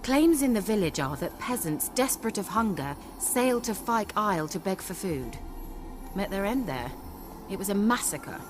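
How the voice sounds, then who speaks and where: A woman's voice: mid-range voice, very animated, moderate pace, music in the background, natural voice. An actress playing a poised, sly sorceress in a fantasy game, refined and teasing as she coaxes. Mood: coaxing.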